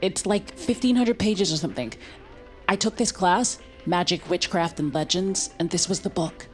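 A slightly low female voice with faint music behind. An actress plays an earnest young American woman in a naturalistic audio drama, halting and awkward, with a wry streak. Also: close to an everyday voice. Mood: wry, eager. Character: hesitant, earnest young woman with unbelievable story.

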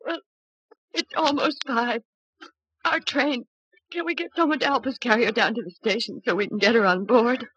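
A woman's voice: fairly high pitch, moderate pace, pauses often, breathy edge, muffled recording. An actress playing an anxious, defiant young working woman in a melodramatic old-time radio drama. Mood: worried, pleading. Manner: excitable, emphatic.

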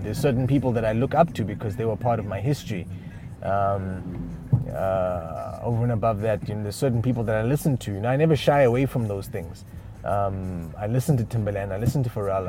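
A man's voice: lowish voice, fairly quick. A man from Uganda, giving casual unscripted advice on music careers with lots of fillers and restarts. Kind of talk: casual spoken advice monologue.

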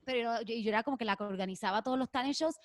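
A woman's voice: on the high side, rapid-fire, no room sound, studio quality. A woman from Puerto Rico with a Puerto Rican accent, a podcast guest speaking intensely and mixing in English. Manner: intense, emphatic, mixes in English. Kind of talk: casual interview chat.

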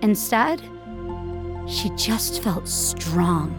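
Slow, measured woman narrating fiction. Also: mid-range voice, very animated. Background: music.

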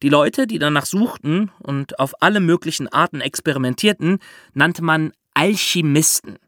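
A man hosting a podcast, explaining step by step in lively storytelling for children with theatrical emphasis. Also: a little high, moderate pace, no room sound, clean studio sound.

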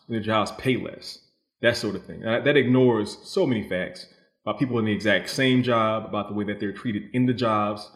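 A man giving thoughtful, conversational answers as a novelist guest, explaining his thinking and voicing other people's views as he goes. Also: fairly low pitch. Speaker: novelist guest being interviewed. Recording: roomy, echoing voice.

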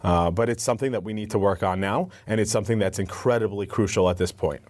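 Low-pitched male guest, earnest, answers with restarts. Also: fast, darkish tone. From United States. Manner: earnest, repeats words when starting a thought. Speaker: advocacy spokesperson. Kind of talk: interview answers.

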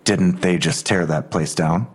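Male police detective, audio drama: husky, gruff, terse interrogator. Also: unhurried. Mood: terse, probing. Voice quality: low, husky. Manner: clipped questioning.

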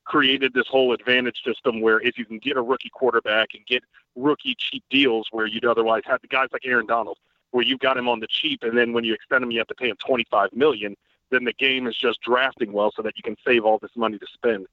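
Brief: guest analyst, man, rapid, detailed explainer, lo-fi remote line. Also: medium pitch, fairly even intonation, no echo. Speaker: guest football writer. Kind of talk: casual podcast chat.

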